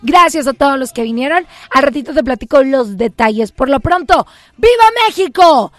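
A Mexican woman with a Mexican accent hosting a lively radio show, playful and joking, shouting cheers now and then from the studio booth. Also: sits high, moderate pace, no room sound. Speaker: radio host.

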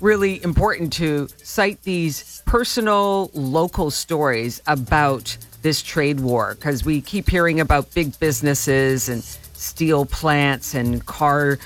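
A woman's voice: low voice, slow pace, few pauses, faint music behind. A woman introducing a local news story as a radio reporter.

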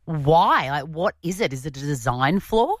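A woman's voice: lively intonation, moderate pace, no echo, clean studio sound. Chatty Australian woman radio host. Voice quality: low register. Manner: laughing, chatty, self-deprecating. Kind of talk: casual radio chat. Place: radio studio.

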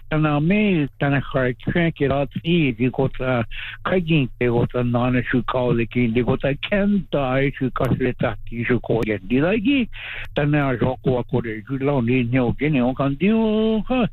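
A man giving halting radio interview answers with many restarts. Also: mid-range voice, dry recording. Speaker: interview guest. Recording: tinny phone-like line, dry.

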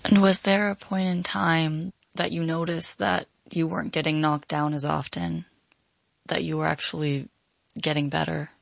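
A woman interviewer asks measured questions in a heavily creaky, husky voice. She sits a little low in pitch, conversational and guiding. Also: little pitch movement, dry recording.

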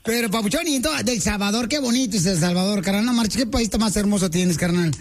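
A man's voice: sits high, quick pace, background noise. A man hosting a radio call-in show, teasing the caller in slang and laughing often. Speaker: radio host. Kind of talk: joking radio show banter.

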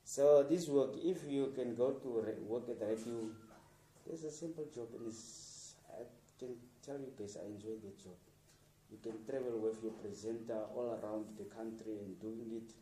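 A radio producer from Namibia talking informally to students, encouraging them with plain, repetitive sentences and restarts. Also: male voice, medium pitch, normal speed, dark tone, reverberant, recorded from a distance, a little hiss or hum, low-quality recording.

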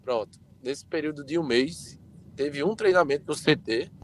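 A man's voice: fairly high pitch, moderate pace, a little hiss or hum. A man reporting club news on a sports talk show, informative and conversational.

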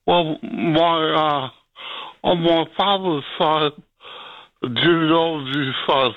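A man calling in to a radio show, chatting casually in a halting, hesitant way over a dull phone call. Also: a little high, steady tone, dry recording. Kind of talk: casual chat.

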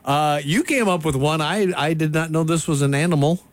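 A man co-hosting a radio morning show in a studio, joking and teasing in casual on-air banter. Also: a little high. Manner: teasing, joking, quick back-and-forth. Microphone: studio microphone.

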